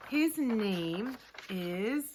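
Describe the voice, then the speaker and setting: A woman teaching young children in a lesson to camera, speaking simply and encouragingly with deliberate, emphatic phrasing. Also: medium pitch, lively intonation, a little mellow, slight background noise.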